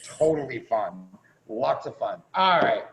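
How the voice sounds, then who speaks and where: A man's voice: medium pitch, very animated, a little slow, vocal fry. A man chattily and informally introducing performers as host of a live online event, with playful emphasis. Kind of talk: casual live introduction on a video call. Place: online video call. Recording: muffled, noisy video-call audio.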